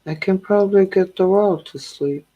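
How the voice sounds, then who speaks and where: A tired woman hosting a tarot livestream, chatting casually with her viewers in a slow, drowsy, calming way. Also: mid-range voice, highs cut off.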